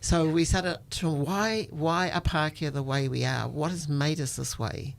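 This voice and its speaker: Older kiwi lady chatting through her stories, lots of creak in the voice, reflective and informal. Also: deep voice, unhurried. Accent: New Zealand. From New Zealand. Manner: informal, reflective, often says "you know". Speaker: storytelling guest, activist. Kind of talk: conversational personal storytelling.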